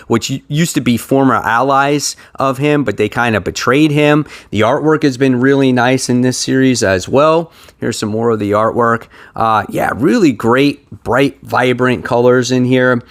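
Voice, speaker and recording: Enthusiastic man chatting casually to viewers. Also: medium pitch. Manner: enthusiastic, informal fan talk. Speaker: comic book review video host. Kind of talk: casual show-and-tell commentary to viewers.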